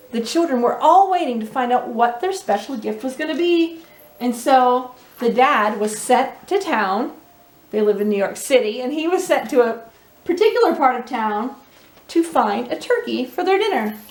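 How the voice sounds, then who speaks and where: A woman's voice: on the high side, lively intonation, moderate pace. A woman telling and reading a children's story expressively, often putting questions to her young listeners. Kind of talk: children's read-aloud storytelling. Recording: roomy, echoey indoor sound.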